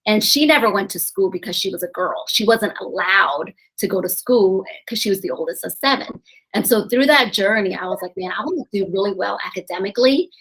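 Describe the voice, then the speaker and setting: A woman guest on a podcast, telling her life story in a chatty, animated way and laughing often. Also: medium pitch, a little fast, slightly rough, low-quality recording. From grew up in New Orleans, USA. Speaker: podcast guest, eye doctor and real estate investor. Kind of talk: casual interview storytelling.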